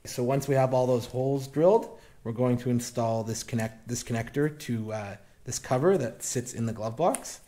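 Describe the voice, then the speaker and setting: A young man casually walking viewers through installing a snowmobile accessory in a garage workshop, relaxed and plain-spoken with many fillers. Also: medium pitch, moderate pace. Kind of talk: casual step-by-step install walkthrough.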